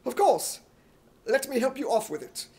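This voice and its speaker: A man voicing an earnest, excitable young hero in an imitation British accent for radio comedy. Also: very high-pitched, normal speed, husky, full character voice.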